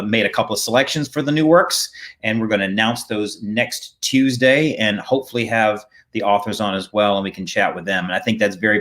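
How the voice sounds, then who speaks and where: A middle-aged man hosting a casual theater chat over a video call, talking in halting, trailing phrases that he keeps reworking. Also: fairly low pitch, fairly bright tone. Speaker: theater host introducing cast. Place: indoors at home or studio, on a video call.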